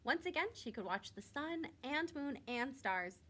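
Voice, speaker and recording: Animated, high-voiced woman reading a storybook. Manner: expressive, with drawn-out emphasis and questions to listeners. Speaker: teacher reading a picture book aloud. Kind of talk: storybook read-aloud for young children.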